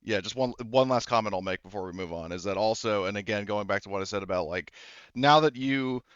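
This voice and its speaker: Smooth, clear-voiced man as game master. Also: fairly low pitch, fairly quick, fairly bright tone, dry recording, dull audio. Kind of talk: tabletop roleplaying discussion.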